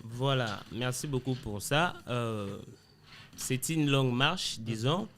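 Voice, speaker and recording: A man's voice: medium pitch, slow pace, a little hiss or hum. Congolese comedian guy, chill interview answers, slips lingala in. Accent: Congolese French. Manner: relaxed, loose, mixing in Lingala phrases. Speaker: comedian guest.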